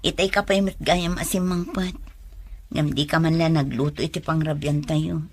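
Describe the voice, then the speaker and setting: A woman's voice: sits low, steady tone, normal speed, slight background noise. A woman acting a meek, apologetic young woman in a radio drama, hesitant and pleading.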